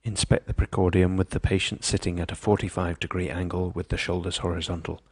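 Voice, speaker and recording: A man reading scripted medical instruction in a measured, precise, impersonal manner. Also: low-pitched, little pitch movement, husky, no echo, studio quality. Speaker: instructional narrator.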